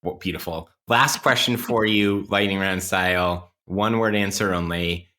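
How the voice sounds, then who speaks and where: A man's voice: sits low, a little slow, pushed and bright. A man hosting a casual, intellectual podcast interview, with academic vocabulary and a playfully mock-formal manner. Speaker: podcast host.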